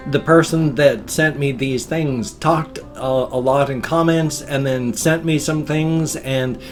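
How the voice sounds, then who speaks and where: Folksy, nasal older man, stuffy-sounding. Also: mid-range voice. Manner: folksy, chatty, meandering. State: congested, a little wheezy. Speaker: vlog host. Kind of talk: rambling casual morning vlog. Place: small home room at a desk.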